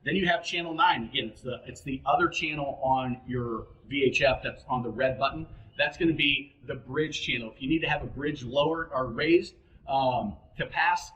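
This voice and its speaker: A man's voice: mid-range voice, moderate pace, fairly bright tone. Man giving practical instruction, roomy echo. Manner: plain-spoken, practical, addresses listener directly. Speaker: boating instructor and host. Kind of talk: informal instructional explanation.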